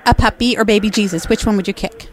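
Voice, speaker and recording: A woman's voice: medium pitch, steady tone, quick pace. A woman co-host making a prank call in a sugary, teasing manner, calling the other person honey and sweetheart.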